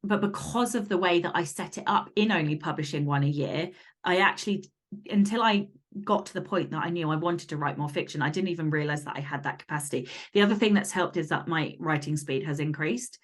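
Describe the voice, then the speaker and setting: A woman speaking as a guest author and podcaster in casual interview chat, candid, animated and emphatic. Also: low voice, a little fast.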